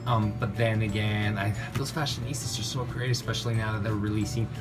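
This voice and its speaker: A man's voice: lowish voice, fairly even intonation, a little fast, over loud music. A man in a casual unboxing review of toys, speaking off camera with gushing enthusiasm. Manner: enthusiastic, gushing, lots of "really, really". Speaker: toy reviewer. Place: indoors, tabletop setup. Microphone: off-camera narration, camera on hands.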